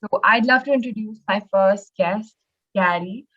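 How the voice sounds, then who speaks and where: A woman hosting a podcast, speaking politely and in a measured way. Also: mid-range voice, fairly bright tone, dull audio. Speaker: podcast host.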